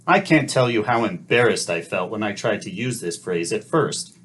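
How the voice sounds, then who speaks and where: A middle-aged man narrating a scripted teacher-training lesson in a calm, measured, explanatory way. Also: on the low side, a little hiss or hum.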